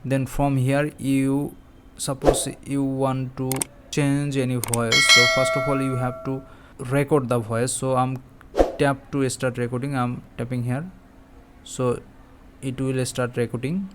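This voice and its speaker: A man walks through a phone app step by step as a tutorial presenter. His pitch is mid-range and very even, and he speaks slowly, with halting phrases. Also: noisy background.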